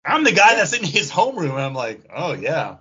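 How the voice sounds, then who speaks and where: A man's voice: fairly high pitch, very animated, brisk, bright, forceful tone, slightly rough. A man co-hosting a podcast in casual banter, teasing and jokey, often acting out imagined lines. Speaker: podcast co-host.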